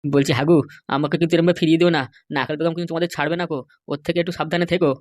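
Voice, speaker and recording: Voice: medium pitch, flat delivery, rapid-fire, a little mellow, dry recording, clean studio sound, natural voice. An actor voicing an anxious, middle-aged male politician who pleads and complains in a comedy cartoon. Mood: worried warning.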